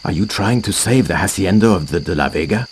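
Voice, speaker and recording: An actor playing a fatherly, knowing priest in a video game cutscene, with a gravelly voice. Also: low voice, expressive, fairly quick, a little hiss or hum. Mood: gentle. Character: kindly, sly priest helping a hero.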